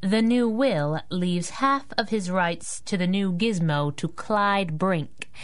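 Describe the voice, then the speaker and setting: An actress playing a plucky, wry young sidekick in a radio superhero drama, snappy and casual. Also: female voice, fairly low pitch, slow, smooth. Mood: wry, brisk. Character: plucky wisecracking young woman sidekick, hero's driver. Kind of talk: 1930s-set radio superhero drama acting.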